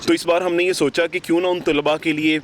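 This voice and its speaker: A man's voice: fairly high pitch, flat delivery, brisk. A young man with a Pakistani accent answering interview questions as a volunteer organiser, earnest and fluent with pious phrasing. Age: young adult. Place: outdoors beside a city park. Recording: outdoor street noise, passing vehicles.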